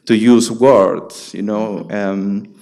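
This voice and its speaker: A man in his late thirties from Peru, a curator speaking on a panel in a halting way, with many fillers and restarts. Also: lowish voice, fairly even intonation, gravelly, darkish tone. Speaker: guest curator. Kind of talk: panel conversation.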